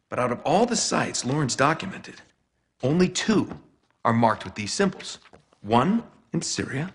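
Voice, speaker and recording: A man's voice: mid-range voice, fairly bright tone. A man playing a confident, eager treasure hunter in a game cutscene, talking conversationally as he explains things. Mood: confident, persuasive. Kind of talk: naturalistic video game cutscene acting. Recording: game music behind the voice.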